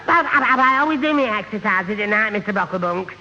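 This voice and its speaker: Casting: bumbling lad, very high stuttering voice, vintage radio comedy, noisy muffled sound. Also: male voice, animated, fast, bright, forceful tone, full character voice. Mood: earnest, muddled. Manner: stammering, muddled, giggly. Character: gormless, bumbling, good-natured young man. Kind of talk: 1970s radio sitcom acting. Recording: muffled, noisy old radio, echoing hall.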